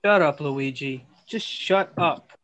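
A man's voice: on the high side, takes it slowly, fairly bright tone, voice pushed down. A man reading the part of a short-tempered, protective brother in a film script. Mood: irritated. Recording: dull lo-fi video call audio.

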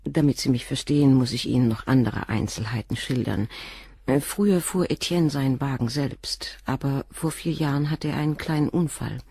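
A husky, composed doctor's wife. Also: female voice, a bass voice, mellow, no room sound, exaggerated voice. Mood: candid. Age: 40s. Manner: measured, frank, controlled confession. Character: composed middle-aged doctor's wife, former nurse. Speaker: witness questioned by the inspector. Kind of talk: radio drama acting.

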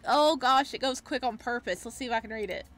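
A woman giving casual, expressive, exclamatory commentary as she plays through a game and reads it out. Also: on the high side, a little fast. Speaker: gaming streamer.

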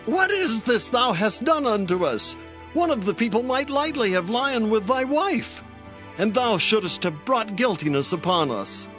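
A man's voice: sits high, very animated, fairly bright tone, faint music behind, full character voice. An actor playing an imperious Philistine king in a dramatized scripture reading, declaiming formally. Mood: stern, indignant. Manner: declamatory, slow, formal delivery.

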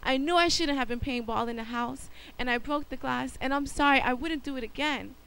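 A woman voicing a little boy who speaks softly and earnestly, apologizing to his father. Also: sits high, a little fast, gravelly, smooth, low-quality recording. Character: sorry, hopeful little boy.

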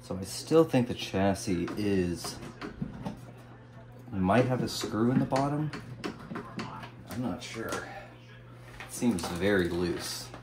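An American man narrating his hobby restoration work casually and unscripted, musing out loud as he goes. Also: on the low side, animated, slow, pauses often. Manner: musing, thinking aloud. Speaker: hobbyist radio restorer presenting a video. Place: small indoor home workshop. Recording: faint room noise.

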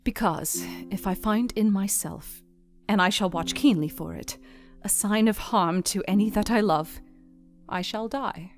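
A woman voicing a brave, sorrowful young woman who speaks quietly and earnestly in a dramatized audiobook. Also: mid-range voice, unhurried, soft background music, close to an everyday voice.

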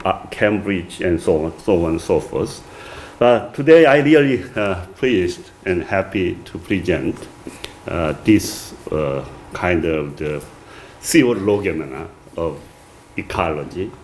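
A middle-aged man with a strong Korean accent giving an academic lecture at a podium, reading from a manuscript with many halting "uh" fillers. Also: sits low, a little mellow, a little hiss or hum. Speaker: visiting lecturer. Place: wood-paneled reception room, indoors.

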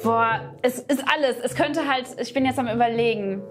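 A woman's voice: fairly high pitch, moderate pace, music in the background. A young woman chatting casually as she questions the other players in a game. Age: young adult. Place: indoor studio room, seated at a table.

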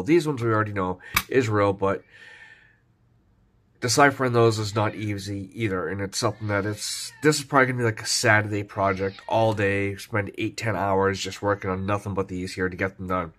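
A man's voice: fairly low pitch, fairly quick. Stuffy-voiced man showing his coins. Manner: relaxed, rambling, frequent fillers. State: stuffy from a cold. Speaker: coin collecting vlogger. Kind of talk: casual show-and-tell narration.

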